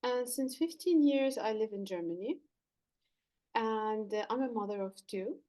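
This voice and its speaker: Woman guest from Lebanon, high voice, earnest coach explaining her methods. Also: a little slow, warm tone, faint music behind. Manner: earnest, explanatory, some fillers and restarts. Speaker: leadership coach, podcast guest. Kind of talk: podcast interview answers.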